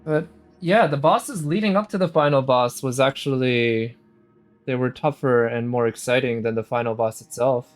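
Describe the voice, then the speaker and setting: A man giving casual, unhurried live commentary as a game streamer, musing aloud as he goes. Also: mid-range voice. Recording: game music behind the voice. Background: game music.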